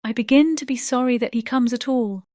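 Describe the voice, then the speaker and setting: A woman playing a gentle, anxious young gentlewoman in a dramatized audiobook, earnest and plaintive. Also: a little high, fairly quick, no room sound, studio quality, natural voice. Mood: troubled. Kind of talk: dramatized audiobook character acting.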